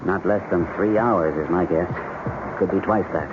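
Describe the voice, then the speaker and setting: Guy acting as a calm surgeon in an old radio play, low voice, muffled with some hiss. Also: lightly acted. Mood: brisk, composed. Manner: clinical, authoritative, measured. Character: composed male surgeon lecturing while operating. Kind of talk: 1970s radio drama acting. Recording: noisy, dull old radio broadcast.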